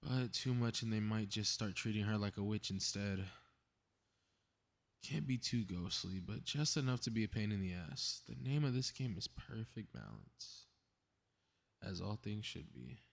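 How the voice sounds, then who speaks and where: A man's voice: on the low side, lively intonation, normal speed, creaky. A man acting a cocky, mischievous young male superhero in a scripted ASMR audio drama, muttering sarcastic, casually profane asides. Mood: scheming, amused.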